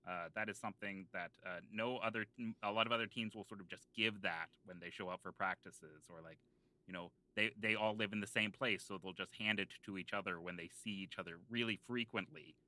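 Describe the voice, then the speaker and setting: Bright, forceful man rambling on a podcast. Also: fairly low pitch, fairly even intonation, rough recording. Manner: halting, rambling, mock-earnest. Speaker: podcast co-host. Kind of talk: improvised comedy podcast banter in character.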